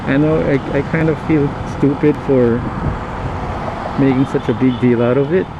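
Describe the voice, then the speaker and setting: Guy from the philippines, native tagalog speaker, moto vlogging off a helmet mic, kinda monotone halting rambling, really noisy road behind him. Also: mid-range voice, muffled recording. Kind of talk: casual rambling vlog monologue. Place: outdoors, riding a motorcycle in traffic. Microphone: lav mic on helmet. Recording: helmet lav mic, loud traffic noise. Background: motorbike engine and road traffic noise.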